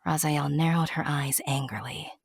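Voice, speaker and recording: Low, very husky woman narrator. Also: steady tone, moderate pace. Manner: conversational asides to the listener. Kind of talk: scripted storytelling narration. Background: music.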